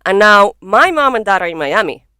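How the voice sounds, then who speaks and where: Frank Venezuelan woman, crisp dry voice. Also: mid-range voice, sing-song, brisk, bright, forceful tone, dry recording. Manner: frank, swears freely, laughs easily. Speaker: guest comedian. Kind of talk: casual podcast chat. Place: small home studio in a bedroom. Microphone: podcast microphone.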